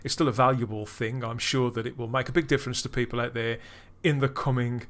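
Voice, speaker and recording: A man hosting a podcast, chatting casually and delivering upbeat, theatrical links with quick laughs. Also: mid-range voice, fairly even intonation, a bit bright. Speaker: podcast host.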